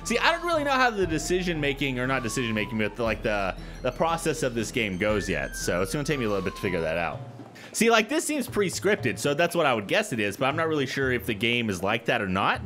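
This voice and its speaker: A man's voice: medium pitch, big pitch swings, fast talker, fairly bright tone. A young man hosting a gaming video, rambling informally and reading aloud as he gives casual let's play commentary. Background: game music.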